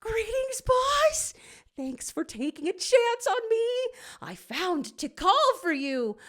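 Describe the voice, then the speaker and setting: A chirpy young henchman, played by a woman, eager and polite like a new intern. His voice sits high and bounces in pitch, in cartoon fashion. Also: big pitch swings, takes it slowly, fairly bright tone, full character voice. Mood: eager, cheerful.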